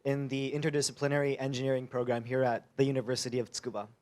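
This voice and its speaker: A man's voice: medium pitch, rapid-fire. A young man, a university student, talking casually with lots of ums. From United States. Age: young adult. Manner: casual, relaxed, many ums. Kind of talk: casual guest chat.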